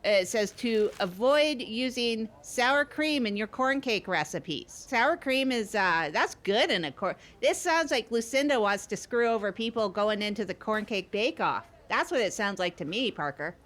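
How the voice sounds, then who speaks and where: A woman's voice: a little high, expressive, moderate pace, few pauses, a bit bright. A woman playing a chatty, eager but unsure trial co-host on an improvised comedy podcast, rambling and full of questions. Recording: noticeable background noise, lobby ambience.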